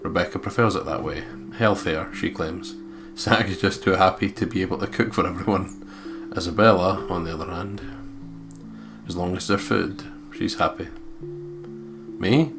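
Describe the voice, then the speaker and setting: A man with a low voice co-hosts a horror game playthrough. He reads story narration aloud and chats in a joking, sweary way. Guitar music plays clearly underneath. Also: moderate pace. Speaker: let's play co-host and narrator. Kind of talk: casual banter and read-aloud visual novel narration.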